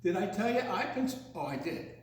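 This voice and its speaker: Very echoey recording, older man, high voice, children's storyteller, singsong and playful read-aloud. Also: lively intonation, slight background noise. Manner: theatrical, singsong, drawn-out delivery with teasing questions. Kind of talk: read-aloud picture-book story with playful asides to young listeners. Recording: very echoey, reverberant room.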